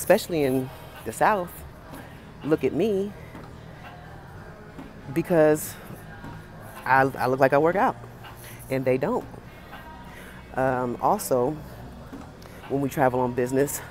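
An American woman in her forties talking casually and emphatically to camera as a fitness vlogger, pausing between phrases. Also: sits low, a little mellow. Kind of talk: casual opinionated talk to camera. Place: indoor gym with high ceiling.

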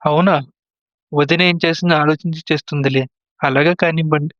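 A man's voice: fairly high pitch, fairly even intonation, normal speed, fairly bright tone, dry recording, dull audio, lightly acted. A man playing a trusting, easily swayed younger brother and husband in a moral story drama, earnest and questioning. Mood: concerned.

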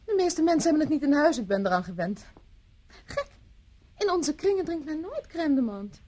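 A woman's voice: high-pitched, sing-song, moderate pace, warm tone, slight background noise. An actress from the Netherlands playing a charming, teasing young society woman in a radio drama. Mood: coy, airy. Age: young adult. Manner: teasing, polite, airy.

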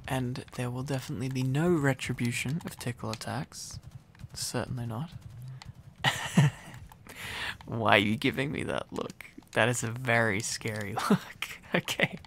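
A man's voice: mid-range voice, unhurried, a bit bright, a little hiss or hum. A man playing a needy, silly, affectionate boyfriend in an intimate ASMR roleplay, rambling and teasing playfully. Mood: relaxed, teasing.

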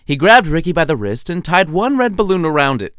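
Animated high-voiced man telling a story. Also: brisk, clear, resonant tone, no echo, studio quality. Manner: wry, theatrical. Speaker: author reading own story. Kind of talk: lively scripted storytelling narration.

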